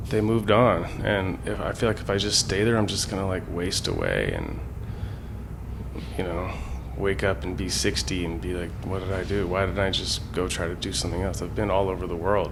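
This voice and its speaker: Interview guest, male, 40s. Deep-leaning, creaky voice, loose slangy delivery, noisy room tone. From San Francisco Bay Area, US. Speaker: interview guest, graffiti artist. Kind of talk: casual reflective interview talk.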